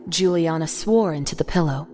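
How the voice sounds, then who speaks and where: A woman's voice: low voice, normal speed. Woman narrating a drama over music. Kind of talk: scripted narration of an audio drama.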